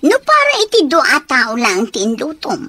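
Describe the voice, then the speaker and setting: A woman's voice: high-pitched, huge pitch swings, moderate pace, sharp tone, heavily acted. An actress playing a bossy, insolent woman in a radio drama, speaking with an Ilocano accent. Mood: haughty. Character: bossy, insolent woman barging in with her father.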